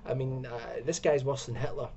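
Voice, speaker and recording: A man's voice: mid-range voice, steady tone, fairly quick, dark tone. A young man giving an exasperated, sarcastic commentary from a room at home, speaking into a webcam. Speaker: video commentator. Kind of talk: ranting video commentary.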